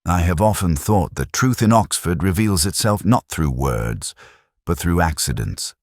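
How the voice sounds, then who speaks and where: A man narrating a scripted first-person detective story in a measured, formal, literary manner. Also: sits low, lively intonation, no room sound, clean studio sound.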